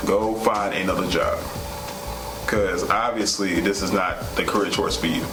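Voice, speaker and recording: A man's voice: sits low, fairly even intonation, measured pace, background noise. A man co-hosting a vlog, giving candid, conversational commentary in an earnest, plain-spoken way. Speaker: vlog co-host. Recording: roomy echo, music underneath.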